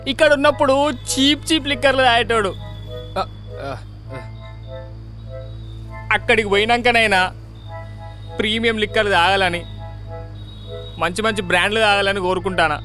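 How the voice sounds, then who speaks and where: Man acting a high, bright-voiced mock-pious friend. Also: normal speed, pauses often, soft background music. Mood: mock-pious pleading. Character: earnest male friend praying mock-piously for the dead. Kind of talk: comedic web series acting.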